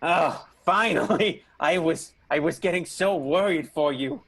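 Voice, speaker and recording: Husky, harried vaudeville showman, a man acting. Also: fairly high pitch, measured pace, fairly bright tone, heavily acted. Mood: placating. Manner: weary, repetitive, placating. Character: harried, self-pitying vaudeville showman named Keaton. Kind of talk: improvised 1920s radio soap opera acting.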